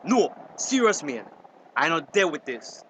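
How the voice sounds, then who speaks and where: Casting: grumbling Belizean Creole fisherman, indignant, higher-pitched voice, clear noise behind him. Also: big pitch swings, a little slow, fairly bright tone, poor audio. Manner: complaining, indignant. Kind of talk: radio drama dialogue in dialect. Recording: drama over clear vehicle-like background noise.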